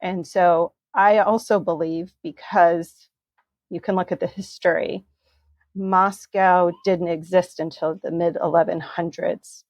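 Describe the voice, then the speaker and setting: A woman author, raised in the United States, explaining history in a measured, thoughtful way with frequent ums. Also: lowish voice, fairly even intonation, darkish tone, no room sound. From USA. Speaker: author guest.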